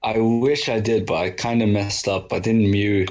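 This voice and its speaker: A man in his twenties from the Netherlands, a podcast guest chatting in a relaxed, loose way. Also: on the low side, normal speed. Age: 20s. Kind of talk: casual chat.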